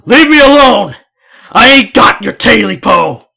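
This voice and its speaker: A man voicing a frightened old man in a mountain cabin, yelling loudly and desperately in rural speech. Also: extremely high pitch, animated, measured pace, vocal fry, breathy edge, voice pushed up, heavily acted. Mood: terrified yelling.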